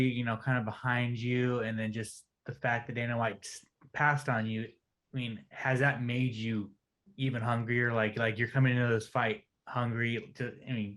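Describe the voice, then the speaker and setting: Mid-pitched male sports interviewer. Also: a bit bright. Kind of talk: casual interview questioning.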